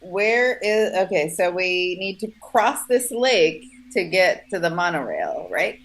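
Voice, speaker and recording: A woman's voice: on the low side, big pitch swings, takes it slowly. A woman chatting casually as a player at a tabletop roleplaying game.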